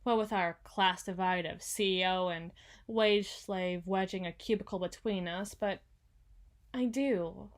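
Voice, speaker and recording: A woman playing a frazzled, proud young female CEO in an ASMR roleplay, halting and stammering as she corrects herself. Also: medium pitch, lightly acted. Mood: exasperated, sincere.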